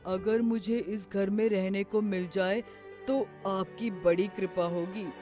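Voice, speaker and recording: A woman voicing a lazy, excitable young man in a children's story, exclaiming and wishful. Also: medium pitch, takes it slowly, faint music behind, close to an everyday voice.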